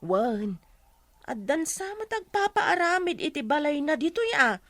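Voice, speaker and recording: A woman's voice: sits high, big pitch swings, a little slow, fairly bright tone, dry recording, full character voice. A woman with an Ilocano accent playing a chatty, loyal village neighbour in a radio comedy drama. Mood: admiring, insistent.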